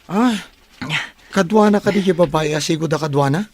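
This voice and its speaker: High, gravelly young man played by an actor. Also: moderate pace, darkish tone, dry recording. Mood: curious. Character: eager, helpful young male student, loyal buddy. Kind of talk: Ilocano radio drama acting.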